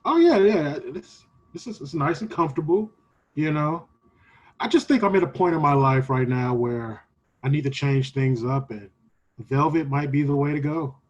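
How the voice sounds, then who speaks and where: Man playing an earnest, husky-voiced thrifty shopper. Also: a little high, lively intonation, normal speed, lo-fi sound. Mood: curious, earnest. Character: earnest, thrifty male customer wanting an everyday cape. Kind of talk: improvised comedy scene.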